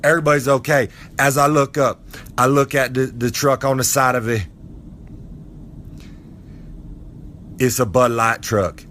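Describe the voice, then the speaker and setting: A man's voice: mid-range voice, fairly bright tone, a little hiss or hum, natural voice. A man voicing a boastful, folksy old male wrestler character with a drawl who rambles and preaches. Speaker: podcast character segment.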